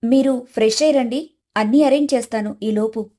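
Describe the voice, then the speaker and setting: A woman's voice: a little high, fairly quick, dark tone, close to an everyday voice. A woman voicing a dutiful, apologetic young wife in a cartoon story, polite and deferential. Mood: attentive. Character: dutiful, apologetic elder-sister daughter-in-law.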